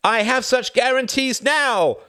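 Guy voicing a fired-up president, raised voice, up high and all over the place. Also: very animated, moderate pace, no echo, clean studio sound, exaggerated voice. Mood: indignant. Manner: loud, indignant, raised voice. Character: heated, desperate middle-aged male president.

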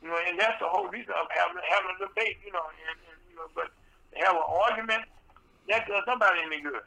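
A man hosting a radio talk show with a soft voice, rambling and full of fillers and restarts. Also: high-pitched, fast, vocal fry, poor audio.

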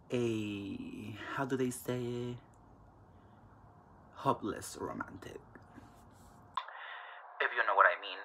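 A young man with a Mexican accent hosts his own podcast in a casual, unfiltered monologue. He talks bluntly, peppering his talk with fillers and swearing, in a somewhat low voice. A faint hum sits behind him. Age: 20s. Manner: candid and blunt, swears freely, many fillers. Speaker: podcast host.

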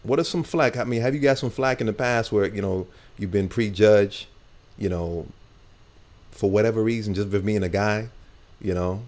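A man's voice: on the low side, moderate pace, a little mellow. A man hosting a casual talk show, rambling with many fillers as he asks questions. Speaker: host.

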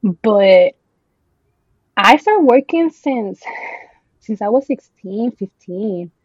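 A woman's voice: medium pitch, animated, slow, breathy edge, dry recording. Podcast guest, woman in her 20s from El Salvador, chatty with lots of ums, dry dull lo-fi sound. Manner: chatty, many ums, slips into Spanish words. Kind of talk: casual podcast chat.

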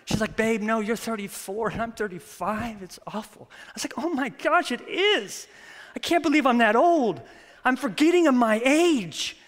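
Brief: thirties male pastor, high bright voice, animated storyteller, church reverb. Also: moderate pace. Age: 30s. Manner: animated, storytelling with acted-out quotes. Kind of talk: sermon with prayer and stories. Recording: long reverb of a church hall.

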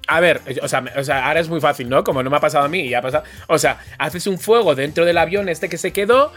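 A man's voice: on the high side, quick pace, fairly bright tone, soft background music. A man co-hosting a podcast, chatting emphatically and repeating words for effect. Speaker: podcast co-host. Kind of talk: casual chat.

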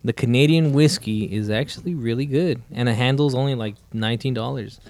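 A man's voice: medium pitch, brisk, a little mellow. A man co-hosting a podcast, talking casually and profanely over drinks. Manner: profane, laid-back, says "dude" a lot. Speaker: podcast co-host.

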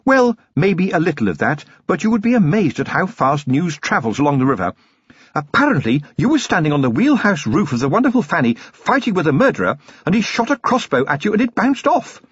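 A man's voice: on the high side, very animated, brisk, husky, no echo, highs cut off, voice pushed up. A man voicing a genteel noblewoman with a refined, gushing upper-class lilt. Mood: fond. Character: genteel noblewoman, warm and affectionate.

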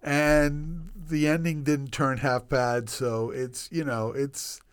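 Man, 60s, from Northern Virginia, interview guest, slow, smooth clear voice, rambling. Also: fairly high pitch, little pitch movement. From Northern Virginia, United States. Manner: rambling, self-deprecating, many restarts. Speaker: guest, obstetrician. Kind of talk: casual interview storytelling.

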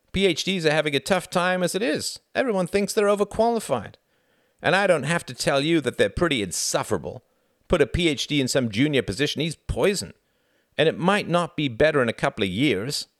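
Man acting a high, booming, jovial young diplomat. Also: big pitch swings, brisk, dry recording, clean studio sound. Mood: persuasive. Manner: hearty, exclamatory, persuasive. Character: jovial, booming, teasing young British diplomat with big hands.

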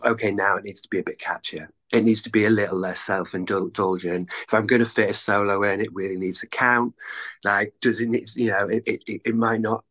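A man's voice: fairly low pitch, a bit bright. A Welsh man in his thirties, a musician chatting casually in an interview, rambling and self-deprecating with lots of fillers. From North Wales. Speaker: guest musician.

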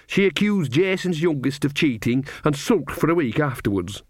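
A man reading an audiobook, voicing an earthy, gossipy old female witch in a slow drawl. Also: on the high side, lively intonation, no echo, studio quality. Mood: drawling gossip. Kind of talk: audiobook character voice.

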